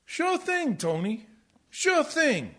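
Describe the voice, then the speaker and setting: A man's voice: extremely high pitch, sing-song, slow, heavily acted. An actor playing a dim, deferential Italian-mob henchman in a radio comedy, rambling and eager to please. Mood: cowed. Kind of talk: comedic radio play acting.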